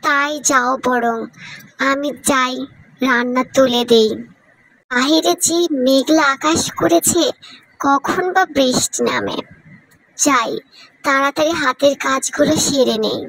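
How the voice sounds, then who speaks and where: A woman's voice: high voice, takes it slowly, long pauses, fairly bright tone, no echo, poor audio. A woman playing an irritable, overworked housewife in a cartoon, scolding and grumbling. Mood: grumbling, resigned. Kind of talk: Bengali cartoon dub.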